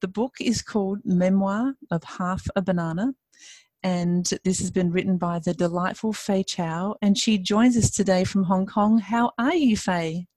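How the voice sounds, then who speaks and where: A woman's voice: mid-range voice, measured pace, mellow, dry recording. Effusive woman hosting interview. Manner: effusive, enthusiastic, laughs easily. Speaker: podcast host. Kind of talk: upbeat interview.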